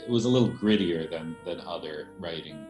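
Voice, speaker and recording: A man's voice: low-pitched, brisk. A man answering interview questions as a theater director, explaining things conversationally. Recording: roomy echo, faint music.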